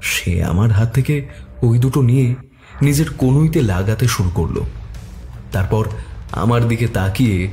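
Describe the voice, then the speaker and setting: A man narrating a detective story in the first person with expressive delivery. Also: mid-range voice, measured pace, pauses often, faint music behind.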